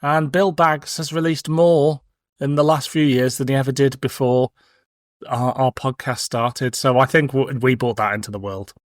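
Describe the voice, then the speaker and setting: A man's voice: mid-range voice, steady tone, moderate pace, dry recording, clean studio sound. A man in his forties hosting a podcast in casual banter, with a wry, playful, teasing manner. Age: 40s. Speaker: podcast host.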